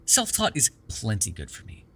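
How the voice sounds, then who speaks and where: A man's voice: fairly low pitch, huge pitch swings, normal speed, background noise. A man playing a chatty, defensive vampire captor in an intimate ASMR roleplay, rambling casually with plenty of swearing. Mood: defensive, proud. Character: chatty, defensive, self-deprecating male vampire captor with a soft spot.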